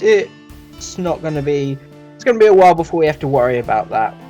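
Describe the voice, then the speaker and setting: A man's voice: fairly high pitch, darkish tone. A man giving a casual review commentary as a video reviewer.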